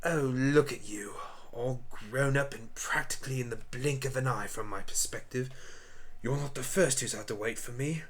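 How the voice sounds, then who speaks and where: A man's voice: mid-range voice, moderate pace, lightly acted. Man playing rueful, whimsical time-traveling alien doctor. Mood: lonely, remorseful. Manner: rueful, rambling, polite. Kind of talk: amateur radio play acting.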